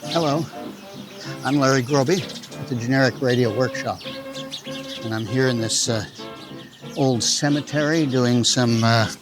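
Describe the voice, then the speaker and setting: A man hosting an audio drama, giving a theatrical introduction with halting, dramatic pauses. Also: medium pitch, music in the background. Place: outdoors in a cemetery. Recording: faint outdoor noise, birdsong. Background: birdsong.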